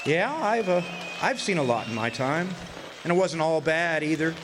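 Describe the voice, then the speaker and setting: An actor playing a weary older drifter and war veteran, narrating a radio drama in a folksy, hesitant, reflective way. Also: male voice, fairly high pitch, lively intonation, moderate pace, crowd noise, background noise, natural voice. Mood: wistful, weary.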